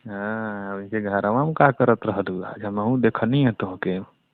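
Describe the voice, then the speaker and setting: Low creaky Bhojpuri man on a phone line. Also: measured pace, no echo. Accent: Bhojpuri, eastern Uttar Pradesh. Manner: teasing, needling. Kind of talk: casual flirtatious phone chat. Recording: narrow, tinny phone call recording.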